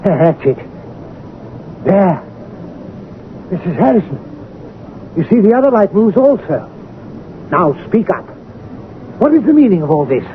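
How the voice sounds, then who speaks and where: Bossy suspicious manor master, high voice, tinny radio drama with slight hiss. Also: male voice, very animated. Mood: demanding. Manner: brisk, commanding. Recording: band-limited old radio broadcast with hiss.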